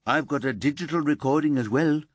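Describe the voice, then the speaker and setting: A man voicing a hasty young male detective who speaks quickly. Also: on the high side, no echo, pitched above the performer's own voice, close to an everyday voice.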